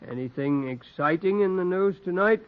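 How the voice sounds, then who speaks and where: An actor playing a mild, genial and unsuspecting gentleman in a 1940s radio drama. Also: high voice, expressive. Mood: genial, weary. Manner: genial, polite, unhurried. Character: mild, courteous gentleman walking home, unsuspecting. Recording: muffled 1940s radio recording.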